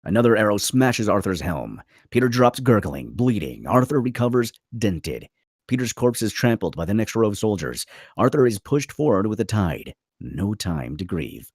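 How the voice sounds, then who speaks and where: A man's voice: fairly low pitch, very animated, moderate pace, slightly rough, no echo, clean studio sound. A man reading screenplay stage directions as the narrator of a table read.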